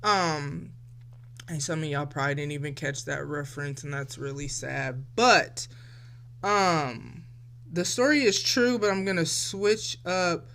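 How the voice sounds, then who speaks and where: A woman's voice: low-pitched, measured pace, fairly bright tone. A woman of forty hosting a casual solo podcast, talking in a reflective, loose and chatty way straight to her listeners. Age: 40s. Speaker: podcast host.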